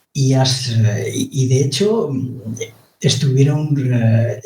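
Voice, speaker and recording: A man from Spain with a Spanish accent speaks very slowly and carefully as a podcast guest, pausing often to find his words. His voice is mellow and dark. He sounds set back from the mic in an echoey room. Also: mid-range voice. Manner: slow, deliberate, hesitant delivery. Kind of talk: explanatory monologue. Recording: roomy, distant from the mic.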